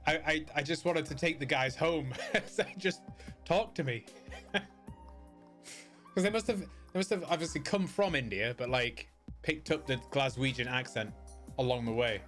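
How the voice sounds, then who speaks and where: A man's voice: fairly high pitch, normal speed, pauses often, a bit bright. A middle-aged man from the United Kingdom chatting casually as a game streamer, rambling and often searching for his words. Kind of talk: casual livestream chat. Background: music behind the voice.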